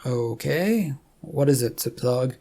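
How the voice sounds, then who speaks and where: Narrator as blunt, husky competitive guy. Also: mid-range voice, animated, takes it slowly, warm tone, exaggerated voice. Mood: impatient. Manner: blunt, combative. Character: Rufus, competitive, exasperated male player.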